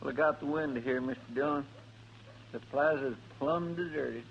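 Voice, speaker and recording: A man's voice: mid-range voice, moderate pace, creaky, close to an everyday voice. A man acting an anxious, folksy American deputy sidekick in an old Western radio drama, deferential and hesitant. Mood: apprehensive. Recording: dull, noisy old radio recording.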